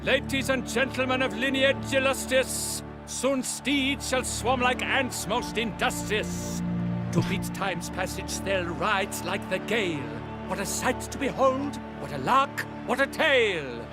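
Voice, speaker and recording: Fantasy game herald: very high pitch, slow grand proclamations, pompous and theatrical, music behind. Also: male voice, rough recording. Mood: florid excitement. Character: florid, pompous male herald bard proclaiming in rhyme. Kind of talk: theatrical video game voice acting.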